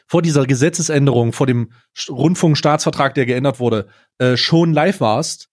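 A man's voice: medium pitch, moderate pace. A man talking casually as a podcast host, emphatic and fond of posing rhetorical questions.

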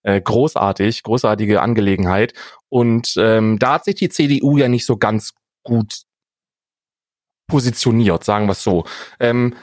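A man's voice: fairly low pitch, unhurried, darkish tone, dry recording, studio quality. A man co-hosting a casual podcast, bantering in an ironic, slangy way. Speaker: podcast co-host.

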